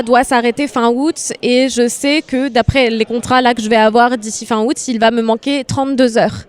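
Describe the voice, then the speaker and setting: A woman working as an animator, answering interview questions with many hesitations and fillers. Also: fairly high pitch. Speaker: animator guest.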